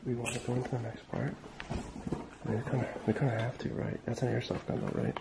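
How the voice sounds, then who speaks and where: Gravelly, wary young man exploring. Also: medium pitch, level tone, fairly quick. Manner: cautious, wary, questioning. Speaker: urban explorer filming a video. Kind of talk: casual hushed exploring chat. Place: indoors, abandoned school building at night. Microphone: handheld camera mic. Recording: noisy handheld camera audio, clicks.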